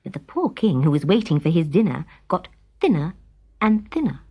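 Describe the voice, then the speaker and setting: A woman reads a children's tale aloud in an expressive storytelling style. Her melody rises and falls widely, and her tone has a soft husky edge. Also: lowish voice, normal speed. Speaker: story reader. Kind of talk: scripted children's story narration.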